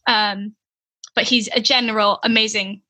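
A woman's voice: medium pitch, fairly even intonation, moderate pace, bright, forceful tone. A young woman from Llanelli with a Welsh accent, chatting and asking questions in an enthusiastic way. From Wales. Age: young adult. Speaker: interviewer. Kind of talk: casual chat.

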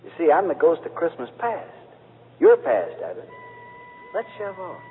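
An actor in a radio play voicing a breezy, folksy cowboy ghost with an echoing voice, on a tinny 1940s broadcast. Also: male voice, a little high, huge pitch swings, normal speed. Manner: folksy, easygoing drawling slang. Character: breezy cowboy ghost of Christmas past, then present. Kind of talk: old-time radio drama acting.

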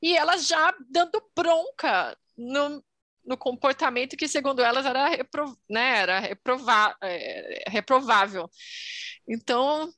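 Brazilian woman in her twenties, podcast co-host, high voice, dry close sound. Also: animated, measured pace, sharp tone, no echo. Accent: Brazilian Portuguese. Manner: laughing often, chatty anecdotes. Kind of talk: casual chat, storytelling.

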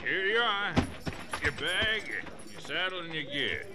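A chatty, folksy frontier townsman in an old radio western. His rustic drawl swings widely in pitch as he gives sly advice, with loud music behind. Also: lively intonation, measured pace. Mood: amused, cautioning. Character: chatty, chuckling small-town western local, slyly cautioning. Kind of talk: 1950s radio western acting.

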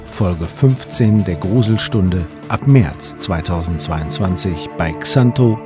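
Slow, low-voiced male announcer. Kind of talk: scripted trailer announcement. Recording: music bed under the voice. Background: music.

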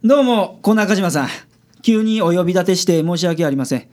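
Man acting gruff Kansai detective, swooping delivery. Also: sits high, expressive, darkish tone. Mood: composed, polite. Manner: blunt with colleagues, measured and polite with clients. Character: gruff, sardonic male private detective, shrewd and secretly kind. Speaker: detective in a radio drama. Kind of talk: radio drama acting.

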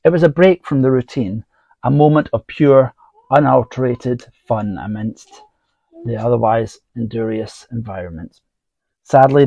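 A man reading scripted stories aloud, then chatting casually with fillers, his voice going husky. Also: medium pitch, mellow, no echo, highs cut off. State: voice getting husky. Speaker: podcast host.

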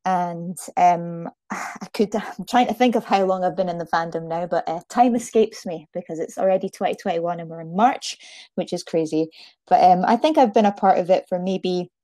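Chatty scottish lady in her thirties, warm relaxed tone, really dry recording, bit self-deprecating. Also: fairly low pitch, moderate pace, no echo. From Aberdeen, Scotland. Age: 30s. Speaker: podcast guest, fursuit maker. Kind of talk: casual chat, telling own story.

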